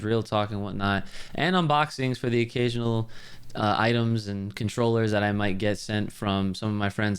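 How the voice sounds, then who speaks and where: A man's voice: fairly low pitch, clear, resonant tone. A man giving a casual screen-share gaming tutorial, explaining things step by step in an informal, rambling way.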